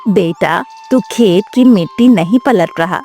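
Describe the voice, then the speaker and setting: A woman voicing a loving, encouraging old village grandmother in an animated moral story. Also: mid-range voice, unhurried, faint music behind, natural voice. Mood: proud, tender.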